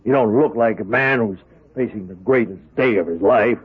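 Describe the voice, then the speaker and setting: A man's voice: on the low side, normal speed, breathy edge. An actor playing a paternal but stern prison warden in a radio drama, hearty and impatient in his lecturing. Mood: encouraging, impatient. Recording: muffled, tinny old radio broadcast.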